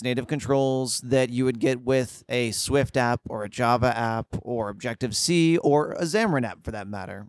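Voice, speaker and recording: A man's voice: medium pitch, no room sound. A man with a slightly froggy voice, speaking as a podcast guest in a casual, slangy, enthusiastic and emphatic way. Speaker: podcast guest, tech company employee. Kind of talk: casual interview explanation.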